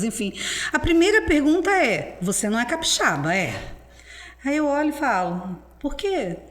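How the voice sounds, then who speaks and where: A middle-aged woman, speaking as a guest historian. Her voice sits a little high. She tells stories in a lively, laughing way and keeps checking in with tag questions. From Espírito Santo, Brazil. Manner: animated, laughing storyteller with frequent tag questions. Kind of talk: casual chat.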